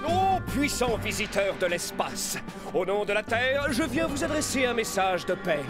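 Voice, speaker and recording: A man's voice: high-pitched, big pitch swings, normal speed, over loud music. A man voicing a pompous, dim-witted police officer in a cartoon, blustering and self-important.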